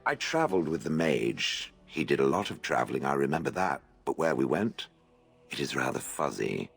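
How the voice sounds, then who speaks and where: Deep, gravelly, scornful stone golem. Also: male voice, big pitch swings, normal speed, fairly bright tone, soft background music, full character voice. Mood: scornful, dry. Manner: sardonic, haughty, blunt, dry. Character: ancient grumpy stone golem, scornful of soft humans. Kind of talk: video game dialogue acting.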